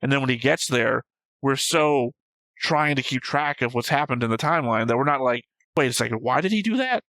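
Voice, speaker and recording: A man with a medium-pitched voice talks casually as co-host of a reaction show. He rambles and jokes his way through tangents. The sound is close and dry. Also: fairly quick, a bit bright, dry recording. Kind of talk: casual discussion and commentary.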